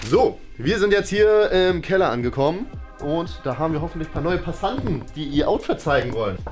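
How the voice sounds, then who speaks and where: Fast-talking young man, teasing host. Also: a little high, sing-song. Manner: teasing, jokey. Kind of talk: playful mock street interview. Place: small furnished indoor room. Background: background music.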